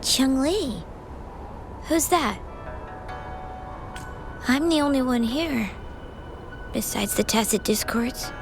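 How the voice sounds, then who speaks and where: A woman's voice: sits high, lively intonation, takes it slowly, gravelly, pauses often, over music, exaggerated voice. An actress playing a lonely, guarded young girl in a video game, speaking earnestly. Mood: subdued, lonely.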